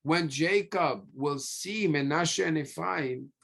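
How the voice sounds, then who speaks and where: A man preaching as a rabbi, emphatic, with frequent pauses, repeated phrases and rhetorical questions. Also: fairly high pitch, gravelly, fairly bright tone. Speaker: rabbi giving a religious lecture. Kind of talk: sermon, teaching through scripture stories.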